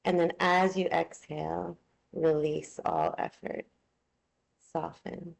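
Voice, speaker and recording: Slow, low-pitched woman guiding meditation. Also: flat delivery, gravelly, rough recording. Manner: soothing, unhurried, gently instructing. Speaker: yoga nidra meditation teacher. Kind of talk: guided relaxation meditation.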